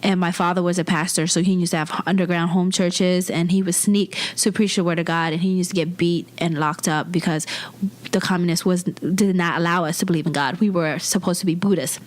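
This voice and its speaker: A woman's voice: on the low side, fairly even intonation, a little fast. Blunt laughing woman in her twenties. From Vietnam. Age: 20s. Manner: blunt, candid, laughs often. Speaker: comedian guest. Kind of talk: interview storytelling.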